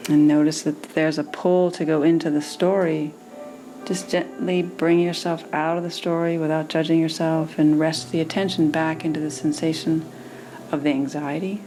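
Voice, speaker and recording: A woman meditation teacher leading a guided meditation in a soothing manner, with gentle pauses between phrases. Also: low voice, little pitch movement, faint music behind, poor audio.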